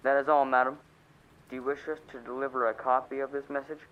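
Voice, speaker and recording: A man's voice: mid-range voice, flat delivery, fast talker, highs cut off, close to an everyday voice. A man playing a businesslike, impassive telegram clerk reciting formally in a radio play.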